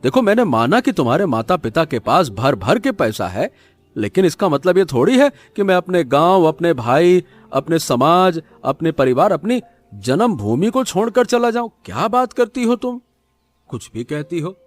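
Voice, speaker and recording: High-pitched, animated village farmer played by a man. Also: normal speed, dry recording, soft background music. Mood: exasperated. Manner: animated, colloquial remonstrating. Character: trusting, stubborn poor village farmer, loyal to family.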